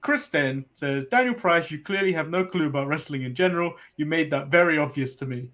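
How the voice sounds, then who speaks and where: A man's voice: a little high, fairly quick, fairly bright tone. A man with an English accent co-hosting a podcast in a casual, conversational style. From England. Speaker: wrestling podcast co-host. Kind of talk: casual chat, reading chat comments aloud.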